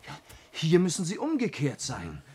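An actor playing an eager, loyal detective's companion in a German radio play, inquisitive and easily impressed. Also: male voice, on the high side, huge pitch swings, normal speed, darkish tone, slight background noise. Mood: excited.

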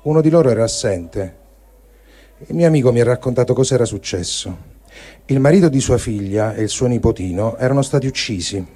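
A man giving a grave, deliberate dramatic reading of a written diary, phrase by phrase. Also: mid-range voice, animated, mellow. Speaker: reader of written testimonies.

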